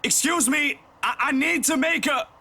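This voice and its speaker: A man's voice: very high voice, normal speed, gravelly, slight background noise, pitched above the performer's own voice, full character voice. A man voicing a terrified young male prisoner in a visual novel, calling out in desperate pleas. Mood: anxious calling. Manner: desperate, pleading shouts. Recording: loud game music over the voice.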